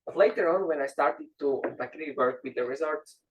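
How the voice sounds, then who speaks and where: A man's voice: a little high, dull audio. A young man from Slovakia, speaking on a panel with many fillers. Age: young adult. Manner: quick, with filler words and chuckles. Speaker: roundtable panelist. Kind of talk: conversational panel remarks. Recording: distant mic with faint noise.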